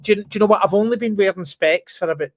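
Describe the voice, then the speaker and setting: A man's voice: high-pitched, very fast. Chatty man with an anglicised Scottish accent. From Scotland, near Glasgow. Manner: chatty, frequent ums, laughs easily. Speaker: guest, company founder. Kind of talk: casual interview chat.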